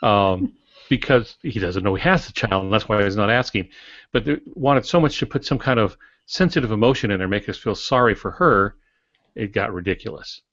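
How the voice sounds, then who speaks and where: An older man co-hosting a podcast, giving conversational advice in a measured, instructive way with dry humour. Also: on the low side, expressive, a bit bright, no echo. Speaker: podcast co-host. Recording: dry, slightly muffled lo-fi audio.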